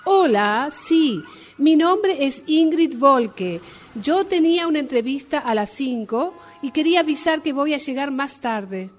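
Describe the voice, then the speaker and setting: A woman's voice: high voice, animated, a little slow, faint music behind. A woman acting a polite, flustered job applicant, hesitant and apologetic on the phone, in a radio drama. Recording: amateur workshop recording, sirens and traffic noise.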